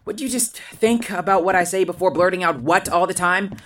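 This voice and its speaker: A woman playing a sharp, impatient, sarcastic young investigator in a fantasy audio drama. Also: lowish voice, close to an everyday voice. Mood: brisk, dry. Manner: snappy, sardonic, brisk.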